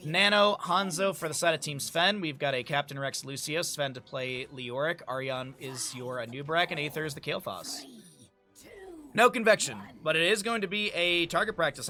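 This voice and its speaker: A hoarse man casting a live-streamed esports match, chatty and thinking aloud, often correcting himself. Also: on the high side, pushed and bright.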